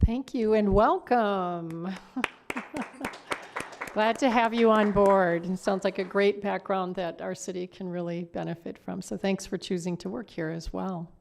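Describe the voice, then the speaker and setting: A woman's voice: mid-range voice, fairly even intonation, unhurried, creaky, a little mellow, slight background noise. A woman speaking as a mayor chairing a council meeting, courteous and procedural as she moves through formal business.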